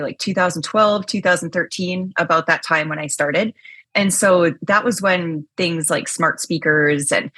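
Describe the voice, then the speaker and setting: A woman chatting as a podcast guest, loud and energetic, with a husky voice and many casual fillers. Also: deep voice, dry recording. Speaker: podcast guest, chief risk officer. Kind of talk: casual interview chat.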